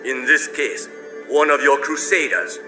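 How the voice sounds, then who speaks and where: An actor playing a proud, menacing crime-boss collector in a game cutscene, speaking in cold, formal, contemptuous threats over music. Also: male voice, mid-range voice, measured pace, natural voice, poor audio. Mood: cold, scornful.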